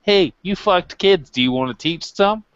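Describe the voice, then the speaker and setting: A man's voice: sits high, lively intonation, moderate pace, fairly bright tone, clear, resonant tone, no echo, lo-fi sound. A man co-hosting a wrestling review show, chatting casually with a wry, joking manner. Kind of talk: casual chat.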